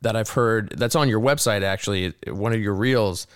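A man hosting an interview, asking quick, curious questions in a casual way. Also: lowish voice, clean tone, no echo, clean studio sound. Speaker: host.

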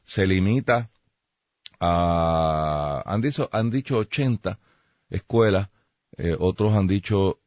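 A man's voice: low voice, slow, darkish tone, no echo. A man with a Puerto Rican accent giving blunt, emphatic political opinions as a radio host, with dull radio sound.

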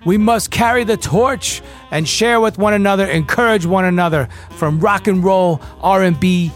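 Guy wrapping up his podcast, high voice, kinda sing-song, soft music under it. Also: normal speed. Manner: warm, sing-song sign-off, rolling delivery. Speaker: podcast host. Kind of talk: podcast outro and promo. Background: faint music bed.

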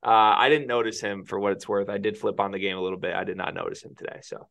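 A man's voice: fairly low pitch, steady tone, quick pace, gravelly, a bit bright. A man co-hosting a casual sports podcast, teasing his partner and laughing often. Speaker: podcast co-host.

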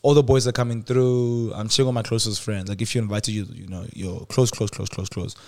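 Fast-talking young man. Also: on the low side, flat delivery, mellow. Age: 20s. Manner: loose, joking, laughs often. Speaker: podcast host. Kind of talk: casual podcast chat.

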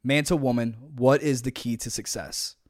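A man's voice: mid-range voice, fairly even intonation, moderate pace. A young man co-hosting a podcast in casual chat, rambling with lots of slang and restarts. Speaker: podcast co-host.

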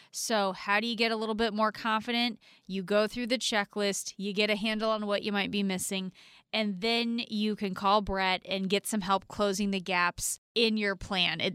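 Lady radio co-host, smooth announcer read, dry close mic. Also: mid-range voice, fairly bright tone, no echo. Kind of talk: scripted radio intro and interview questions.

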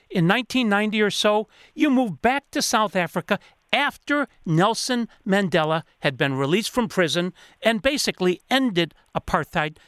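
High, lively male radio host. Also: clear, resonant tone, no echo, studio quality. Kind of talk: radio interview hosting.

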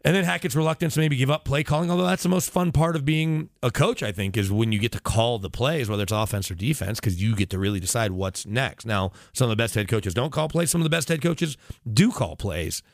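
A man's voice: mid-range voice, fairly quick, creaky, no echo, studio quality. A man talking as a sports radio analyst in casual conversation, opinionated and emphatic, often digressing as he makes his points.

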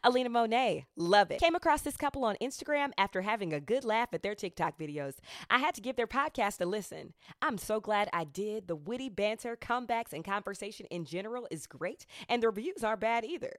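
A woman's voice: mid-range voice, lively intonation, fairly quick, no room sound, studio quality. A woman hosting a podcast, chatting and reading aloud in an effusive, emphatic manner. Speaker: podcast host. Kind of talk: podcast chat, reading out listener reviews and interviewing.

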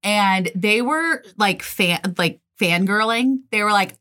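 Woman, podcast co-host, loud, animated, mid-pitched, casual. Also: takes it slowly. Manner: loud, animated, self-described manic.

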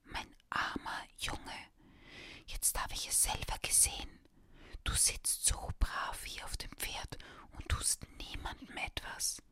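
A woman voicing a gullible, protective father in a children's storybook reading, in an earnest whisper. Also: bright, forceful tone. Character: gullible, protective adult father of a prankster boy.

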